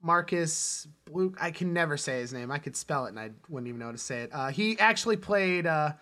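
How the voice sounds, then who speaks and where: A man hosting a casual film discussion, halting and often interrupting himself as he talks. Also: fairly high pitch, animated, bright, forceful tone. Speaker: podcast host.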